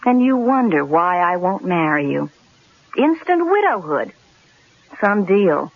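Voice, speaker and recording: A woman playing a worried, sharp-tongued newspaper secretary in a radio drama, anxious and sardonic. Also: on the low side, sing-song, slow pace, exaggerated voice. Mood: resigned, sardonic. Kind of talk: 1970s radio drama acting. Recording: thin, phone-like old radio broadcast.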